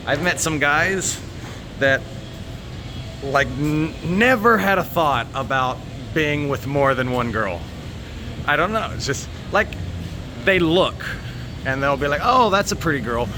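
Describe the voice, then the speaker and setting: An American man chatting casually and candidly to viewers, rambling and haltingly, with plenty of swearing. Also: mid-range voice, noisy background. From United States. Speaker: vlogger.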